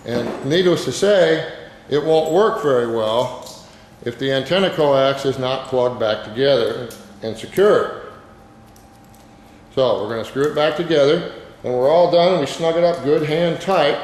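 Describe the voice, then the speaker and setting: In a very echoey, reverberant space with some background noise, a man walks through a hands-on how-to. He is an instructor with a plain-spoken, informal manner, going step by step at a mid-range pitch. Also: unhurried, a little mellow.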